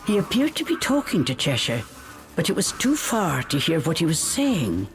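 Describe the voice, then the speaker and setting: A woman playing a storybook narrator, telling a fairy tale in a video game in a measured, dramatic way. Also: deep voice, huge pitch swings. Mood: suspenseful. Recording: music behind the narration.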